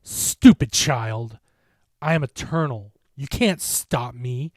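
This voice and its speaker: A man's voice: medium pitch, darkish tone, dry recording. A taunting eternal monster, read by a man. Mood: menacing. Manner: slow, threatening, mocking. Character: menacing eternal monster, red-eyed, taunting.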